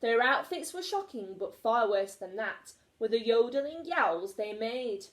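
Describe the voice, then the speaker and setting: Animated woman reading a children's story. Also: on the high side, measured pace. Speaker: teacher. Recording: echoey room.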